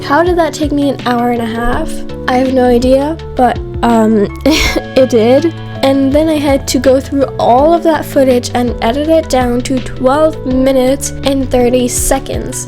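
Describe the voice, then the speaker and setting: Chatty congested teen girl, high voice. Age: teens. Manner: chatty and rambling, giggles often. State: a bit congested. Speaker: gaming YouTuber. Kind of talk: voiceover commentary for a building video. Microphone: USB condenser mic.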